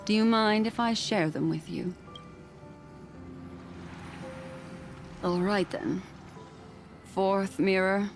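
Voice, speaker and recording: A woman playing a composed, enigmatic keeper of memories in a video game, measured and poised. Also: mid-range voice, soft background music, lightly acted. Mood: composed, courteous. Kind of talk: video game character acting.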